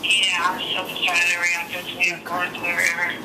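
A woman's voice: lowish voice, monotone, brisk. Woman mumbling over a noisy phone line. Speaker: prank call target. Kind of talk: casual phone conversation. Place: at home in bed, at night. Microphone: heard through a phone speaker. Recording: tinny phone line, loud noise.

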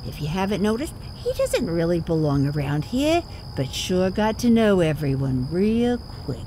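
Nosy old village woman, feisty, folksy, blunt, lively pitch, audio drama, faint crickets behind. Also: moderate pace, background noise. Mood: indignant, gossipy.

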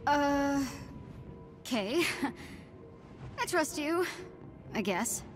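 Eager teen girl hero-fan, high and animated, gushing, awkward, for a game. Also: very slow, soft background music, heavily acted. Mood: hesitant. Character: eager, awestruck teenage superhero fangirl.

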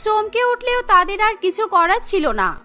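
A woman's voice: extremely high pitch, sing-song, normal speed, pushed and bright. A woman narrating a scripted cartoon story as its storyteller. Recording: music behind the voice.

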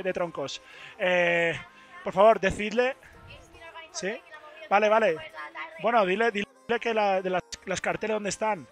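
Hoarse young man, bright and high. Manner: excited, repetitive. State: hoarse, losing his voice. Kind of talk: casual stream chat. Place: studio booth. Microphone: headset mic.

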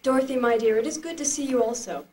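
A woman's voice: a little high, fast, natural voice. A woman playing a gracious young fairy princess in an amateur puppet show, speaking in a stately way. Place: live puppet show at a convention. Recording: distant camcorder with background hiss.

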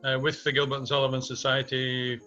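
A man's voice: medium pitch, completely flat delivery, brisk, sharp tone. An older man from Scotland with a Scottish accent, reminiscing thoughtfully and hesitantly in an interview over a video call. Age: 60s or older. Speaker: interviewee, community theatre music director. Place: small home room indoors. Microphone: webcam video call. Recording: muffled video call audio, music underneath.

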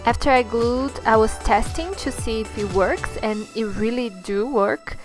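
A young woman from Brazil with a Brazilian Portuguese accent, talking through a sewing tutorial in her second language with chatty, slightly halting phrasing. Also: fairly high pitch, over music. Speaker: sewing channel host. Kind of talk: friendly sewing tutorial talk and voiceover.

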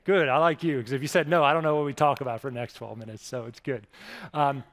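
A man's voice: mid-range voice, fairly even intonation, quick pace. A man in his forties with an American accent, giving a conversational keynote speech with self-deprecating stories and frequent restarts, on a headset mic in front of a live audience. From Pittsburgh, USA. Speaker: keynote speaker. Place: large conference stage with audience. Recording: long hall reverb from stage.

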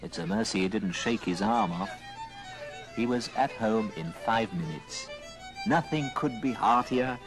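A man giving expressive, theatrical storybook narration on an old radio drama record. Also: on the low side, a little slow. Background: music.

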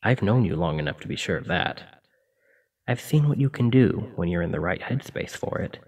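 A man playing a kindly, apologetic sleep paralysis demon in an ASMR roleplay, speaking in a gentle, reassuring, informal way. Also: deep voice, lively intonation, moderate pace, breathy edge, distant mic, full character voice.

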